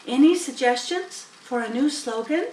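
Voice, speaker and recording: A clever female storybook spider, acted by a woman, frank and in command. Her voice is raised in pitch, with plenty of room echo. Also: lively intonation, normal speed, darkish tone, far from the mic, a little hiss or hum. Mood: businesslike. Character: female spider, brisk, clever, businesslike leader.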